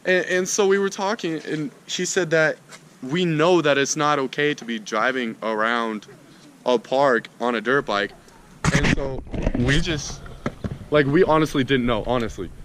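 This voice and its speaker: A man's voice: medium pitch, a little slow, a bit bright. Young american guy telling his buddies a story, slangy, noise in the background. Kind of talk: casual storytelling with friends, slangy. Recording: noisy amateur video audio.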